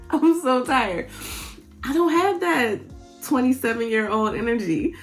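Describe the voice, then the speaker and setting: A woman's voice: sits high, slow pace, faint music behind. A woman in her forties, a vlogger and health coach, talking candidly and sounding tired, laughing often and restarting her sentences. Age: 40s. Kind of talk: candid personal vlog.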